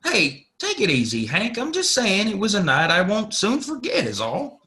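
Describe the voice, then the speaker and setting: Rambling, bright-voiced saloon regular played by a man. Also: high-pitched, huge pitch swings, moderate pace, heavily acted. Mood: wistful, rambling. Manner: stammering, forgetful rambling. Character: rambling, excitable Old West saloon regular. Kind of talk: Western audio play acting.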